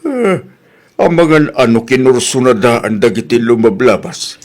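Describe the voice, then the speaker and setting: A man's voice: medium pitch, very animated, unhurried, darkish tone. A man playing an exasperated, blunt older husband with an Ilocano accent in a comedy drama, grumbling and exclaiming. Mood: skeptical.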